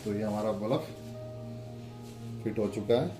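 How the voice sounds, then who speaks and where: A man with a fairly low voice talks through a hands-on demonstration as host. His delivery is casual and instructional, explaining step by step and repeating small pointers. Music plays along with his voice. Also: measured pace. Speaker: product demonstrator and channel host. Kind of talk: casual step-by-step demo narration.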